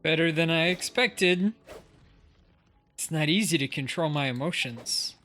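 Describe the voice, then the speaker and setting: Bright, forceful streamer reading game lines aloud. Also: mid-range voice, a little slow, faint music behind. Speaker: live streamer.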